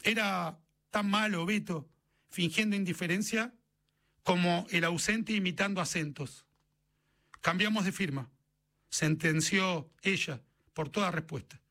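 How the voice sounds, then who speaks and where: A man's voice: high voice, a little slow, pauses often, bright, forceful tone. A man with an Argentine accent reading a short story as scripted literary narration.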